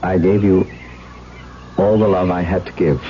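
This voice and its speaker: Brooding, obsessed nobleman, deep-voiced. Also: steady tone, unhurried, slight background noise. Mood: desperate. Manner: theatrical, brooding, impassioned. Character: tormented, obsessed nobleman confessing his tragic love. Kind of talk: 1970s radio drama acting, first-person narration. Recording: dull vintage AM radio recording, music behind.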